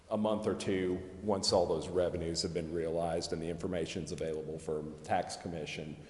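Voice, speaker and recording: Echoey low-voiced man explaining finances. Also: a little mellow. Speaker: presenter explaining tax district finances at a council meeting. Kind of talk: explanatory meeting talk. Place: council chamber. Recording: echoey meeting chamber with long reverb.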